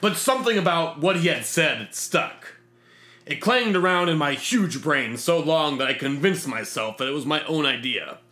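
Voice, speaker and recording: Bright-voiced man narrating with pompous flair. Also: on the high side, lively intonation, normal speed. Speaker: narrator reading a fictional diary. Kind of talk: scripted comic sci-fi audio story narration.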